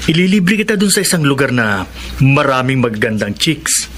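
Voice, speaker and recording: A man's voice: mid-range voice, very animated, normal speed, a bit bright, slight background noise. A man playing a sleazy, teasing buddy in an audio melodrama, leering and chuckling insinuatingly. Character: sleazy, teasing male buddy, tempting his friend. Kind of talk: melodramatic audio drama acting.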